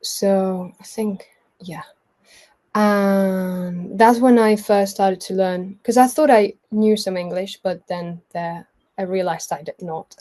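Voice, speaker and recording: Woman, early twenties, Spanish accent, native Spanish speaker, relaxed mellow tone, slow pace, informal personal storytelling. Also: medium pitch. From Mallorca, Spain. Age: early 20s. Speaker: guest sharing a personal testimony.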